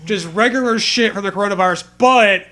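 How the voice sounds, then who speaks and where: A man's voice: high voice, brisk, bright, forceful tone. A man giving a comedic, mock-dramatic reading aloud as co-host, with profane banter.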